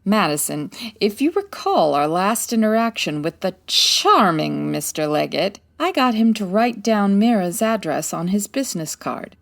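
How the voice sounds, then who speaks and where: A woman's voice: medium pitch, sing-song, a little slow, vocal fry, hardly any pauses. A woman playing a poised, wry 1940s private detective in a comedy audio drama, articulate and dry-witted. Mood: composed, explaining.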